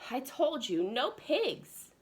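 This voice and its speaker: A woman's voice: sits high, big pitch swings, slow, pitched above the performer's own voice, heavily acted. A woman voicing a stern, stubborn male school principal in a children's storybook, emphatic and scolding. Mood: exasperated. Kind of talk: children's storybook read-aloud.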